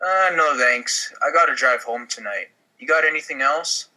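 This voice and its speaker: Guy as a laid-back young gangster diner, dropped pitch, halting, comedy. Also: bright, forceful tone, low-quality recording. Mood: casual, sheepish. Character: young mobster on a restaurant date, laid-back.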